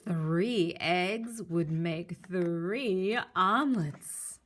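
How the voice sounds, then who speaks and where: A woman reading a children's picture book aloud in an animated storytelling style. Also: on the low side, very slow. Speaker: story reader.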